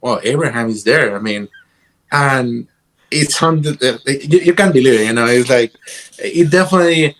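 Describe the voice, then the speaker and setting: A man from Guatemala with a Guatemalan Spanish accent tells stories casually as an interview guest, in a halting way, repeating words as he goes. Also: medium pitch, a bit bright, rough recording. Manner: halting, repeats words, peppered with "you know". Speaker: interview guest, guitarist and music teacher. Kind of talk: casual conversational storytelling.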